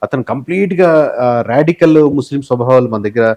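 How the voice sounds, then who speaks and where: A man's voice: medium pitch, lively intonation, brisk, a little mellow. A man with a Telugu accent giving explanatory interview answers as a political analyst, mixing in English words and phrases. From Telugu-speaking southern India. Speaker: guest, election survey company head.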